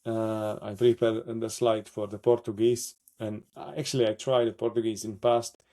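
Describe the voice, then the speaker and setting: A man with a Slovak accent, presenting a live software workshop, talking through a demo with many fillers and self-corrections. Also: on the low side, little pitch movement, normal speed.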